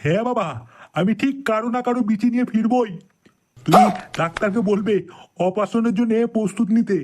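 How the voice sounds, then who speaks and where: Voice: mid-range voice, brisk, a little mellow, faint music behind, close to an everyday voice. A performer voicing an anxious, pleading elderly village father in a comedy cartoon dub. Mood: resolute.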